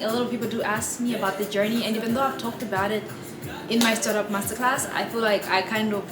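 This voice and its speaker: A young woman talks casually and cheerfully to her camera at home, full of "like" and "um". Her voice sits in a middle register. Clear music plays behind her. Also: mid-range voice, echoey room. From Malawi. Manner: chatty, upbeat, lots of "like" and "um". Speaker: vlogger, content creator. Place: apartment with a river view.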